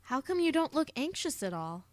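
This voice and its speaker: A woman's voice: sits high, very animated, moderate pace, no room sound. A woman voicing a headstrong teenage schoolgirl who sounds bewildered and questioning, in a fan audiobook. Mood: uneasy, bewildered. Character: headstrong teenage schoolgirl, bossy but shaken.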